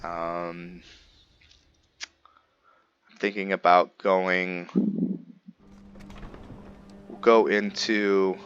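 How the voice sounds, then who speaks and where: A man's voice: deep voice, level tone, extremely slow, long pauses, fairly bright tone, muffled recording, faint music behind. A man hosting a gameplay video, talking informally and thinking aloud to his viewers in casual roleplay commentary. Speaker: gaming video host.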